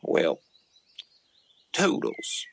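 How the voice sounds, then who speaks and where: A man voicing a genial, eerie underworld creature who parodies a televangelist in a courteous, folksy manner. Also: drawn-out words. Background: faint music.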